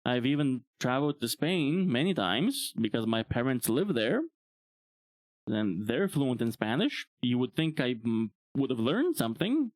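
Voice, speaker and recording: A man's voice: medium pitch, measured pace, no echo. Young guy from bulgaria rambling on stream, chuckles a lot, dry close mic, medium voice. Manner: relaxed, rambling, chuckles often. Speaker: VTuber streamer. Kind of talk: casual live-stream chat.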